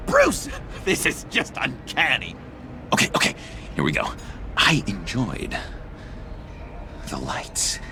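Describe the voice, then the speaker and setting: An actor playing a lovesick, unhinged, childlike young man in a video game, halting and breathy in a theatrical delivery. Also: medium pitch, wildly swooping pitch, pushed and bright, a little hiss or hum, full character voice. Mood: wistful, excitable. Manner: halting, breathy, swooping between whispers and outbursts. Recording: music behind the voice.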